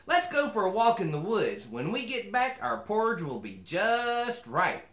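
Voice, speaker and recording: A man's voice: high voice, huge pitch swings, a little slow, fairly bright tone, reverberant, exaggerated voice. A man voicing a sweet, sensible mother bear from a storybook, with an instructive delivery. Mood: reassuring.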